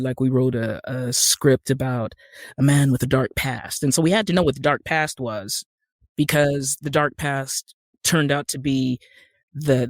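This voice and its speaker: A man's voice: mid-range voice, no room sound, clean studio sound. An American man from Utah, a podcast guest who works as an actor and screenwriter, explaining things conversationally. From Utah, USA.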